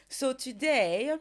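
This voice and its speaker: A woman in her thirties co-hosting a casual podcast chat, making dry, teasing remarks. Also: sits high. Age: 30s. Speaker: podcast co-host.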